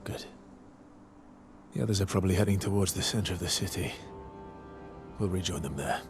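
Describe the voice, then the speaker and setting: An actor playing a weary, protective male fighter in a video game cutscene, speaking in a terse, understated, quietly kind way. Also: deep voice, fairly quick, music in the background. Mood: low concern.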